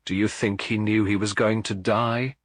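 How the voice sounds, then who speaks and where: A man's voice: fairly low pitch, little pitch movement, moderate pace, dry recording, pitched above the performer's own voice, natural voice. A man voicing a troubled, earnest woman in a mystery audiobook, speaking uneasily as she recalls clues. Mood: uneasy.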